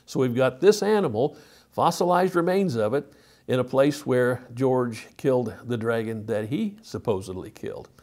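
A man's voice: medium pitch, moderate pace, darkish tone. A man, presenting a lecture as a teacher, emphatic and given to rhetorical questions. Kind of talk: illustrated lecture to camera. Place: indoor studio.

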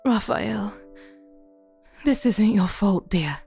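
A woman's voice: medium pitch, animated, slow, vocal fry, darkish tone, husky, faint music behind, exaggerated voice. A woman playing an anxious, motherly good fairy in a fairy-tale audio drama, speaking with open emotion. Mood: consoling.

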